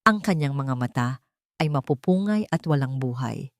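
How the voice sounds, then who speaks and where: A woman narrator reading a scripted, sentimental story in a measured, dramatic storytelling manner. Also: a bass voice, lively intonation, dry recording, studio quality.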